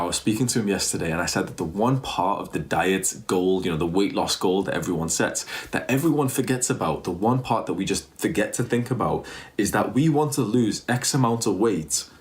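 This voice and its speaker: Deep-voiced man, earnest pitch. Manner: earnest, repetitive, pushy sales talk. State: strained, tired throat. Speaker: fitness YouTuber and diet coach. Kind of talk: casual vlog monologue.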